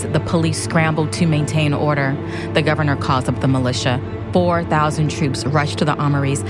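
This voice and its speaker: A woman reading scripted narration for a historical radio documentary drama. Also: low-pitched. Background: music.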